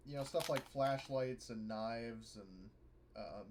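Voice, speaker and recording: A man's voice: medium pitch, slow, noisy background. A man playing a tabletop game, chatting casually and piecing his questions together hesitantly.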